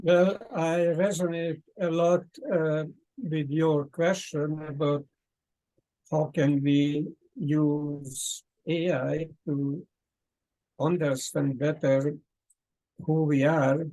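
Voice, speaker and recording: A man hosting an online discussion, talking in a slow, thoughtful way with many pauses and fillers over a video call. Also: a little high, level tone, mellow, rough recording. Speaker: host. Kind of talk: casual talk at an online meeting.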